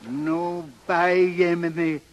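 An actor playing a fond, superstitious old Swedish barge captain in broken English, in a 1930s radio drama. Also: male voice, a little high, little pitch movement, slow, lo-fi sound. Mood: bitter.

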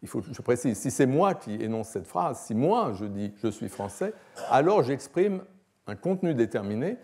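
Male academic lecturer, 60s. Relaxed dark timbre, measured explanatory delivery with hesitations. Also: medium pitch. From France. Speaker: philosophy professor. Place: lecture hall, at a lectern.

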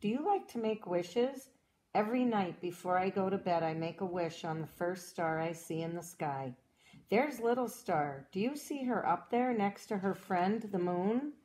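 A woman reading a children's storybook aloud as a cheerful young girl explorer, in a gentle, encouraging way that asks the listener questions. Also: mid-range voice, animated, normal speed, lightly acted, low-quality recording. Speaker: storybook reader.

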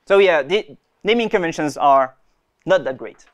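Young man giving a halting tech talk. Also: on the high side, expressive. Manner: hesitant, many restarts and fillers. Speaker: conference speaker. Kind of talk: technical conference talk. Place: conference room.

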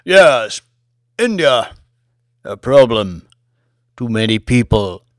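An ancient, towering English lord for an audiobook. He is imperious and excitable, lifting his voice in theatrical swoops and stretching out his exclamations. The sound is close and dry. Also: medium pitch, no room sound. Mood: brisk, pronouncing. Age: 169 years old. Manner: theatrical, drawn-out, exclamatory delivery. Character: imperious, excitable 169-year-old English lord, tall, grandiose. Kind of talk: audiobook character acting.

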